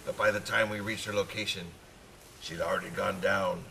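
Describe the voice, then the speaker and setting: Gravelly, forceful old sea dog. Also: male voice, deep voice, level tone, moderate pace, slight background noise, full character voice, low-quality recording. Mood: grim, storytelling. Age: older. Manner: folksy nautical talk, repeats his phrases. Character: hearty old one-eyed sea dog, boastful storyteller. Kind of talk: radio drama acting.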